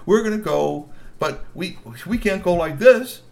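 A man's voice: sits high, expressive, unhurried, creaky, a little mellow, pitched above the performer's own voice, heavily acted. A man voicing Grassy, a sly troll who is the smartest of three troublemakers, pleading and bossing his friends. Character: plotting troll schemer, bossy and sly.

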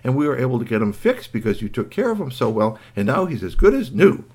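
A man's voice: mid-range voice, very animated, fast talker, darkish tone, slightly rough. A man voicing a cheerful, persuasive woman doctor who talks eagerly and gushes on and on. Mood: grateful. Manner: eager, gushing, chatty. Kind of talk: storytelling.

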